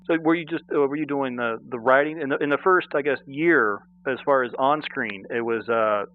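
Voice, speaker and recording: A man's voice: medium pitch, moderate pace. Male interviewer, casual and agreeable, narrow phone-line audio. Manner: chatty, agreeable. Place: phone call.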